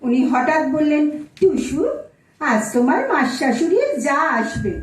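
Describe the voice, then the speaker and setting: A woman's voice: high voice, lively intonation, measured pace. A woman acting a petulant young married daughter in an audio drama, huffy and complaining about her in-laws. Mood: indignant, complaining. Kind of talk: Bengali audio-play acting on stage. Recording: echoey room, distant mic.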